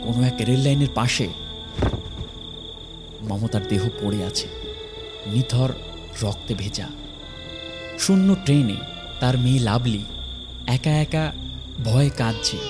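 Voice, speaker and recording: A man narrating a horror story from a script, dramatically, in short phrases with pauses. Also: medium pitch, music in the background.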